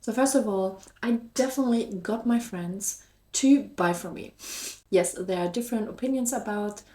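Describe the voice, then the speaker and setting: A woman's voice: mid-range voice, normal speed, mellow. A woman with a German accent talking casually to her viewers as a vlog host, in an upbeat, encouraging and chatty way. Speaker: YouTube host and online shop seller. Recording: echoey room.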